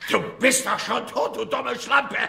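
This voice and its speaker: Shrill, gravelly, spiteful man. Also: steady tone, unhurried, pushed and bright, exaggerated voice. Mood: vicious. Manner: sneering, abusive. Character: spiteful, callous, whining man in an outbreak. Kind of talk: German radio drama acting.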